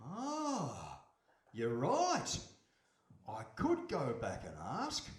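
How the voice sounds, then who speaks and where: An echoey recording of a bemused fisherman played by a man in a comic story. The voice is high and swoopy yet slow, full of grumbling disbelief and working-man exasperation. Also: huge pitch swings, heavily acted. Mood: exasperated. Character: poor, sceptical, easily exasperated married fisherman. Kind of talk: comic audio story acting. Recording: roomy echo, faint noise.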